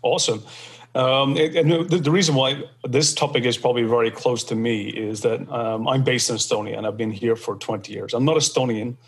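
Male host, casual podcast chat, medium pitch, loose and rambling delivery. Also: fairly even intonation, a little fast, breathy edge. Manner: rambling, many fillers and restarts.

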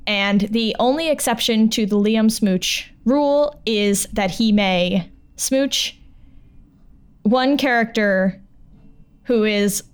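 A woman's voice: mid-range voice, smooth. A woman hosting a podcast, talking in a chatty, informal and reassuring way. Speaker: podcast host and audio drama producer. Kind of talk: casual podcast talk over music. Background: plucked guitar music.

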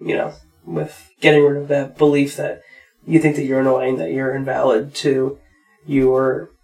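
A man with a gravelly, mid-range voice chats with his wife on their podcast. He talks casually and keeps his answers short and laid-back. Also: medium pitch, flat delivery, moderate pace, darkish tone, soft background music, poor audio. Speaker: podcast co-host. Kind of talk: casual conversation with spouse.

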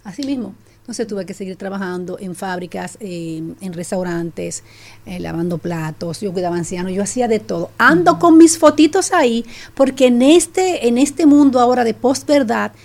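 A middle-aged Dominican woman with a Dominican Spanish accent, telling her story as a guest in an animated, casual way with frequent restarts. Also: mid-range voice, moderate pace. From Dominican Republic. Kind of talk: casual chat.

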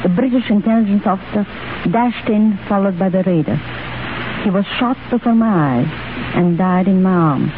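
A woman's voice: mid-range voice, moderate pace. A middle-aged woman giving a scripted radio interview in a formal, carefully worded storytelling manner, 1930s style. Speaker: guest recounting experiences. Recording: noisy, narrow-band 1930s radio broadcast.

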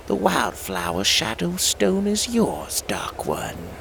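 A man voicing a scheming female fairy queen who speaks slowly and softly to her dark master. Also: mid-range voice, huge pitch swings, creaky, a little hiss or hum, heavily acted. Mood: deferential.

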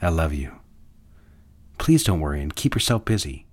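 Actor as busy businessman lover, low voice, condescending, impatient, sweet-talking, period audio drama. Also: sing-song, moderate pace, heavily acted. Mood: affectionate, patronizing.

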